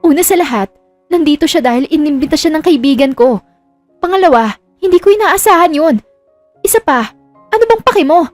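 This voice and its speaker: A woman performs a jealous young wife in a romance audio drama. She is defensive and quick-tempered, with a high voice and a dry, close sound over soft music. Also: lively intonation, dry recording. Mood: angry, impatient.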